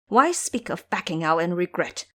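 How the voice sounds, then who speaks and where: Woman playing a fretful male elder monk, expressive. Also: fairly low pitch, normal speed. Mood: worried. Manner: anxious, chiding. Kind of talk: audio drama acting.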